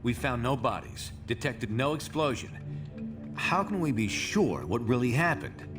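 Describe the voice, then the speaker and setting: A man's voice: mid-range voice, expressive, measured pace, close to an everyday voice. Game hero lead male actor: principled soldier, firm, earnest, varied intonation, music behind. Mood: grave. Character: resolute, principled male soldier superhero leader. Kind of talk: dramatic video game cutscene acting.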